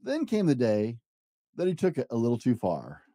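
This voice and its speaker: Warm, lively storytelling dad. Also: male voice, mid-range voice, normal speed, no echo, studio quality. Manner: animated, gentle storytelling. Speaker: podcast host and dad reading to children. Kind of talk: reading a picture book aloud.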